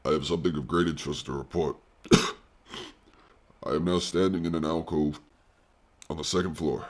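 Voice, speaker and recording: A man's voice: rumbling low, steady tone, moderate pace. A man playing a grave, self-important doctor of psychic research in an audio horror drama, reporting in formal, precise language. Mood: shaken, solemn. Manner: formal, solemn, precise, pompous.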